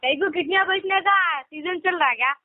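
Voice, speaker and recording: A fifteen-year-old boy with a Hyderabadi accent speaks in a high voice. He sounds like a caller pleading and protesting in everyday conversation. The recording is a thin, dry phone line. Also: fairly quick, dry recording. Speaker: caller asking for money. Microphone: telephone. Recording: tinny narrowband phone call recording.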